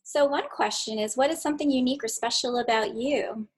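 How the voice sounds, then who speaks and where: A woman reading a children's picture book aloud and then talking gently to young listeners with casual fillers. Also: on the high side, brisk, husky. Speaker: children's story livestream reader.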